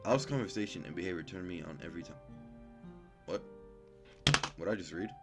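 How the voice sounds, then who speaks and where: A man's voice: deep voice, a little fast, loud music behind the voice. A young man hosting a gaming video, casually reading game text aloud and commenting in slangy, informal speech, stumbling over words as he reads.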